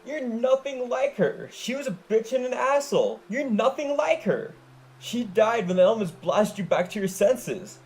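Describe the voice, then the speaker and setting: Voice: mid-range voice, animated, moderate pace, a little mellow, pitched above the performer's own voice, close to an everyday voice, low-quality recording. A narrator voicing a brash, hot-tempered tomboy teenage girl who shrieks and swears. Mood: furious.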